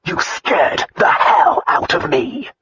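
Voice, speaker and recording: A narrator voicing an easygoing, teasing aristocratic husband with a drawling, casual manner. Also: male voice, fairly high pitch, lively intonation, moderate pace, breathy edge, highs cut off, full character voice. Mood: irritated. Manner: drawling, casual, softly amused.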